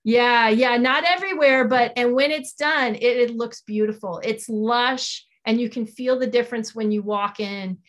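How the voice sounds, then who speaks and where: Earnest woman with a higher-pitched voice. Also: normal speed, hardly any pauses, fairly bright tone. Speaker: environmental advocate, interview guest. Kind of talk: earnest explanatory interview talk. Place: small home office, indoors. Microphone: video call on a computer.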